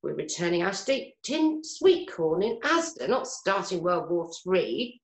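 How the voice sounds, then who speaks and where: Actress as chatty mum, very lively pitch. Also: female voice, unhurried. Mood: exasperated. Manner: gossipy, exasperated. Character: chatty, irritable, fussing middle-aged mother. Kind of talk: radio play dialogue. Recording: roomy, echoing sound.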